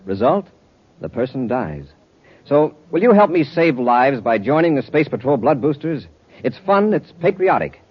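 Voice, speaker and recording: Commanding space patrol hero, medium-pitched. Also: male voice, very animated, normal speed, breathy edge. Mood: earnest appeal. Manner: commanding, earnest. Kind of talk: 1950s radio adventure acting. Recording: dull old radio recording, faint noise.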